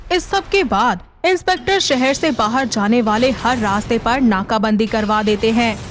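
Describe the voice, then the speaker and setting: A woman reading a scripted story narration for an animated moral tale. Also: fairly high pitch, normal speed, a little hiss or hum. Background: background music.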